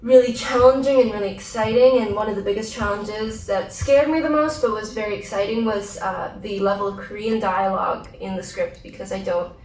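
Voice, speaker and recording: A woman's voice: mid-range voice, fairly even intonation, brisk, hardly any pauses, darkish tone. A woman, an actress, answering questions at a post-screening Q&A, speaking in an articulate, reflective way with the odd light laugh. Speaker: actress, festival guest. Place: large theater auditorium. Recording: roomy theater sound from a distance, faint hum.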